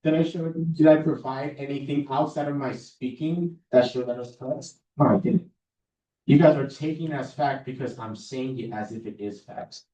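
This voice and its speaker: Young man lecturing in a roomy hall. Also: dark tone. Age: young adult. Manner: casual, confident, deliberately lowered authoritative tone. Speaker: student club speaker. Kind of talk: informal talk on public speaking to a student club. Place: classroom or meeting room. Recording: roomy, distant mic, dull sound.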